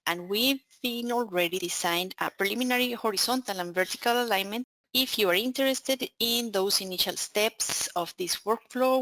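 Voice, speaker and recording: A woman's voice: medium pitch, fairly bright tone, no echo, rough recording. Spanish-accented woman presenting a webinar. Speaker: account manager presenting a webinar.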